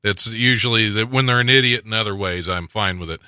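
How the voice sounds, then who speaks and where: A man's voice: fairly low pitch, brisk, smooth, no echo. A man hosting a podcast in casual chat, laughing often and reading news stories aloud. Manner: laughs often, animated and bright. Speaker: podcast host.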